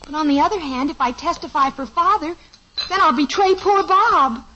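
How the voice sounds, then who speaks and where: A high, bright, tense young girl's voice, fretting and dithering over every worry. Heard through a dull old radio recording with a faint hiss behind. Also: normal speed. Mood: fretful. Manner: anxious, dithering. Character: fretful teenage girl, eldest daughter. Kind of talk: 1950s radio sitcom acting.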